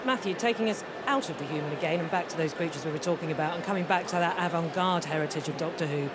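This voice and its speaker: A woman's voice: low-pitched, fast. A woman presenting a live radio interview, chatty and enthusiastic in manner. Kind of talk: live broadcast interview and commentary. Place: large concert hall during the interval. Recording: clear crowd and venue noise.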